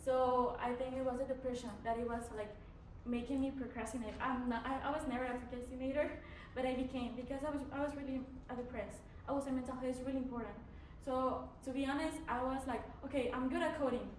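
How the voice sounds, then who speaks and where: A woman's voice: high-pitched, fairly even intonation, fast, slight background noise. A young woman from Mexico, a native Spanish speaker with a Mexican accent, answering a panel's questions as a student candidate, hesitant with many fillers and restarts. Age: young adult. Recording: echoey room, from far off.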